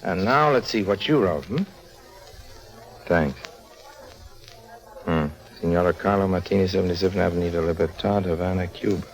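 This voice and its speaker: A man's voice: sits low, normal speed, long pauses, low-quality recording. An actor playing a wily, wisecracking con man in a 1950s radio drama, with a breezy, casual manner and sly asides. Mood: breezy, bantering. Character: wily wisecracking con-man and narrator of his own misadventures.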